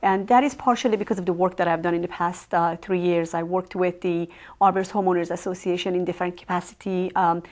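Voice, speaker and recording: A woman from Iran with a Persian accent, answering interview questions as a local election candidate, speaking in a measured way with frequent ums and uhs. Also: lowish voice, fairly even intonation, darkish tone. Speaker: village trustee candidate.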